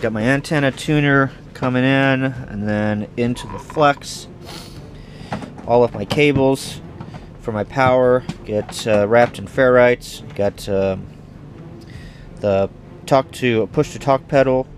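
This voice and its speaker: A man's voice: mid-range voice, slow, background noise. A man narrating and giving a tour as a radio contest operator in his own video. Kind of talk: scripted narration and casual on-site tour.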